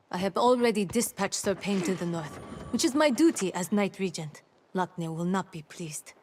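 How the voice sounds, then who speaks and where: A woman playing a dignified, duty-bound knight regent in a fantasy video game, speaking in a formal, measured manner. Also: fairly low pitch, a little mellow. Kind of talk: video game character dialogue.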